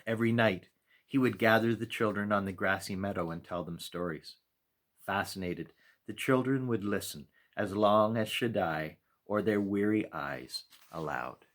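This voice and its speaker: A man with a low voice narrates a story for children. He reads in a measured storytelling style, shaping phrases expressively and leaving deliberate pauses. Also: fairly bright tone. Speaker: pastor reading a children's story. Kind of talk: storytime read-aloud narration.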